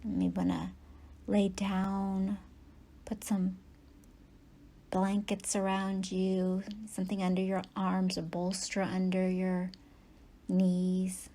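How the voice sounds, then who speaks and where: A woman meditation teacher leading a guided yoga nidra practice in soft, breathy, unhurried phrases with long pauses. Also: medium pitch, completely flat delivery.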